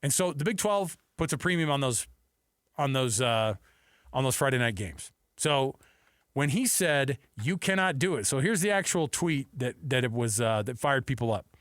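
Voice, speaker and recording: A man's voice: medium pitch, animated, normal speed, fairly bright tone, dry recording, clean studio sound. A man hosting sports talk radio, giving opinions emphatically and repeating his points for weight. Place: radio studio.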